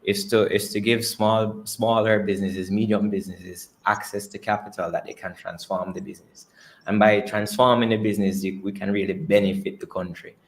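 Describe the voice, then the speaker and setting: Male, Jamaican accent, low pitch, company executive, conversational business presentation, repeats and rephrases as he goes. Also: little pitch movement, fairly quick. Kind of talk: earnings call presentation and answers.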